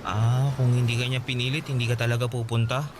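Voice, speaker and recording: A man's voice: mid-range voice, little pitch movement, quick pace, noisy background, natural voice. A man playing an eager, earnest young carnival boy in love, in a romantic radio drama. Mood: shy, earnest.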